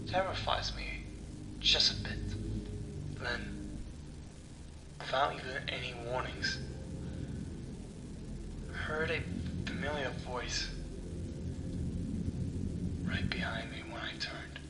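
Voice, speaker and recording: A man narrating a first-person horror story dramatically, with halting delivery, pauses and breathy asides. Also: mid-range voice, heavy background noise. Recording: echoey sound with music underneath. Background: music.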